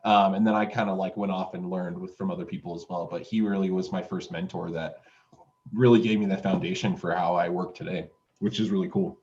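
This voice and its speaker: A man's voice: low voice, steady tone, brisk, a little mellow. A man in his twenties from Utah, a music producer telling his story in an interview, rambling with lots of fillers and restarts. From Utah, USA. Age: 20s. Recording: dull, lo-fi sound.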